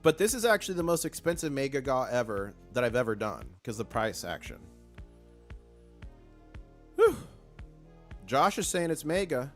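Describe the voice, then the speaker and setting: A man hosting a casual live stream with a hoarse voice, chatty and excitable, reading viewer comments aloud. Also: mid-range voice, moderate pace, pauses often, soft background music.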